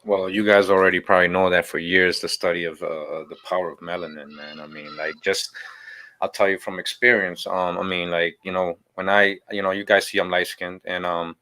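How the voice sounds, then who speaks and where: A man's voice: sits low, steady tone, fairly quick, bright, forceful tone. A man from Boston, born in Costa Rica, talking casually and emphatically as a guest on a show. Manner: emphatic, conversational, repeats "you know". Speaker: guest teacher. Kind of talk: casual interview talk.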